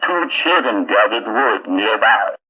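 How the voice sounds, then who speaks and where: A man's voice: lowish voice, takes it slowly, pushed and bright, breathy edge, highs cut off. A man narrating a scripted children's horror story dramatically, on a vintage 1970s recording.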